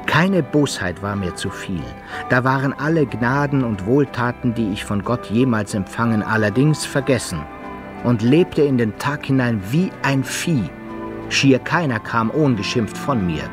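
An actor playing a roguish, godless musketeer in a German radio drama, with a husky voice and archaic phrasing. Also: male voice, medium pitch, expressive, measured pace, music in the background, lightly acted. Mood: reflective, confessional. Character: roguish, godless musketeer recounting his wretched life. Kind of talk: German radio drama acting, archaic first-person narration.

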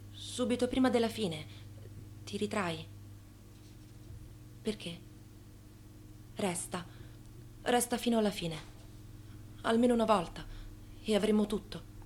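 An actress playing a yearning, reproachful young wife in radio theatre, speaking in a plaintive, insistent way. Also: female voice, medium pitch, darkish tone, a little hiss or hum, natural voice. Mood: intimate pleading.

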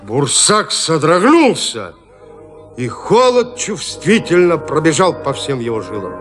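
A man's voice: on the high side, sing-song, a little slow, a bit bright. A man narrating a gothic horror story in an audio drama with theatrical suspense. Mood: suspenseful. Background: music.

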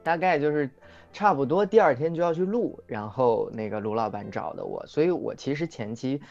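A man's voice: mid-range voice, fairly quick, vocal fry, a little mellow. A man, a singer appearing as a guest, chatting casually on a livestream and explaining things in reflective detail. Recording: dull lo-fi audio, music behind. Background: background music.

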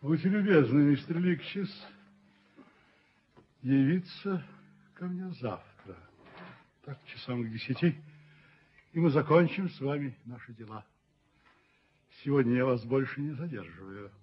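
A dignified, authoritative gentleman landlord in a muffled old radio drama. His voice is husky, his manner formal, suave and quietly commanding. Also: medium pitch, expressive, unhurried, pauses often. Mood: cold courtesy. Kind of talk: radio play acting. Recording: muffled 1970s radio play recording.

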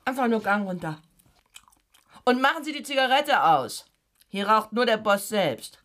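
Schroffe Sachbearbeiterin mit lebhafter Satzmelodie. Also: female voice, mid-range voice, lively intonation, measured pace, a bit bright, slight background noise. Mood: curt, impatient. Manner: curt, officious. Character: brusque, bored female office clerk giving orders. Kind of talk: radio drama acting.

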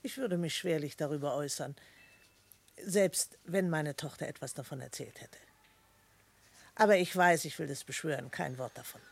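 A woman's voice: sits low, moderate pace, creaky, long pauses, husky, dry recording, heavily acted. Radio drama mother, middle-aged: reserved and sensible, formal delivery that keeps her composure and gives little away. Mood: composed, firm. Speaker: actor. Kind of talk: German radio play acting.

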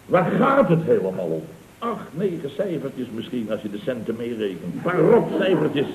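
A man's voice: mid-range voice, very animated, moderate pace, darkish tone, lightly acted. A man playing a scheming, self-pitying boss of a building firm in a radio comedy drama, theatrical and wheedling. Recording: dull old radio recording with hiss.

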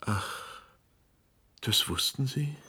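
A man's voice: medium pitch, animated, very slow, bright, forceful tone, a little hiss or hum, full character voice. A male actor playing an earnest radio presenter facing catastrophe in a radio drama. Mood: shaken. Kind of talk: radio drama acting, broadcast moderation.

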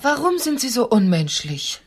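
An actress playing a composed, frank woman who mediates in a radio play, measured and polite. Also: fairly high pitch, very animated, over loud music. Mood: calm, reproving.

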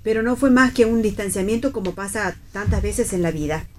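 A middle-aged Argentine mother, played by an actress in a radio play. She is anxious to smooth things over, courteous and wordy, at an ordinary female pitch. There is slight noise in the recording. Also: a little fast, a little mellow, lightly acted. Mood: rambling, earnest. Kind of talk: theatrical play reading for radio.

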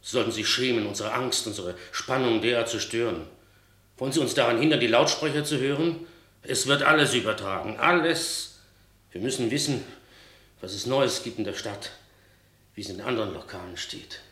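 An actor plays an indignant man in a radio play, scolding with anxious urgency. His mid-pitched voice is sharp and forceful, ringing in a roomy echo. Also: normal speed, lightly acted. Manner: urgent, reproachful. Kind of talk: radio drama acting. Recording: echoey, roomy sound.

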